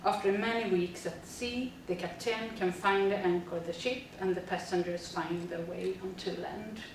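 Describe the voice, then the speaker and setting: Woman, teacher presenting, steady with fillers, distant mic, booming echo, faint hiss. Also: moderate pace. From Sweden. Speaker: teacher presenting a school project. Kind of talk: reading prepared narration.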